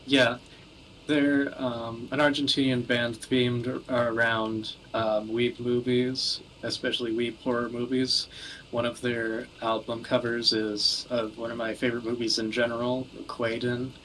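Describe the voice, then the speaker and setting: A man's voice: mid-range voice, level tone, measured pace, fairly bright tone, a little hiss or hum, rough recording. A man chatting casually as a podcast co-host, with dry, joking remarks. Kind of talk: casual banter over a game.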